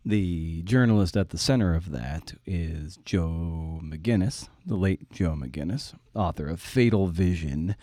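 Guy in his 40s doing podcast chat, real deep voice, mellow, kinda rambly and self-deprecating. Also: slow, few pauses, dry recording, studio quality. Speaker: podcast host and writer. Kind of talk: conversational interview with personal anecdote.

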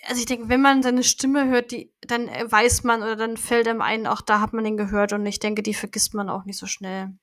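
Woman podcast cohost, kinda high voice, chatty, thinking out loud. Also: level tone, brisk, few pauses. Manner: chatty, thinks aloud with fillers. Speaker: podcast co-host. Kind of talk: casual chat reviewing an audio drama.

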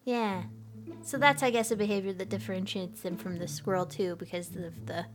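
A woman's voice: medium pitch, fairly even intonation, a little fast, soft background music. A woman co-hosting a podcast, chatting casually and giggling often, with frequent restarts. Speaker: podcast co-host. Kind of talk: casual chat.

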